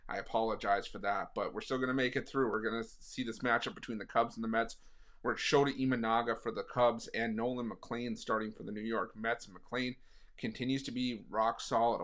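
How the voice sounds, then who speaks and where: A hoarse, slightly raspy man talking casually through a sports betting pick, with plenty of fillers. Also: mid-range voice, pushed and bright. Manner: conversational, frequent fillers.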